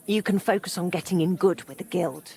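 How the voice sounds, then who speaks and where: A middle-aged woman playing a warm, helpful widowed townswoman in a medieval video game, with a husky voice. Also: lowish voice, a little fast, close to an everyday voice. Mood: friendly, obliging. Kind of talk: video game character dialogue.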